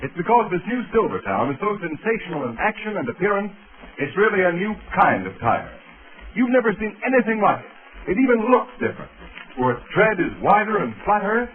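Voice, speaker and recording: An American male announcer from the golden age of radio. He sells with polish and emphasis in a fairly high, animated voice. The recording is tinny. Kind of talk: 1930s radio advertising and scripted introduction. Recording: tinny 1930s radio recording, music behind.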